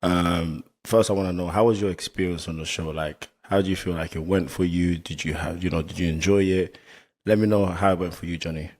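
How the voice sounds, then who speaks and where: A male interview host, hoarse from losing his voice, upbeat as he prompts his guests with questions. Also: low-pitched, fairly even intonation, a little fast, a little mellow. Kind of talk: interview hosting.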